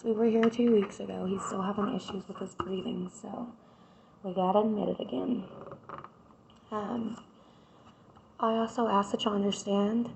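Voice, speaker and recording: A woman, hoarse from a cold, doing a casual tarot reading and chatting straight to her viewers. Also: mid-range voice, a little mellow, slight background noise, rough recording. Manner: chatty, addresses viewers directly with repeated "right?". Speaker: tarot reader.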